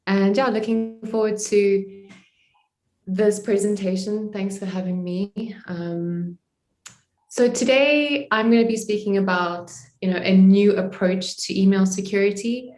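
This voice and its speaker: A woman with a South African accent giving a conversational webinar presentation as a sales presenter, often filling pauses with "you know". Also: mid-range voice, a little mellow, echoey room.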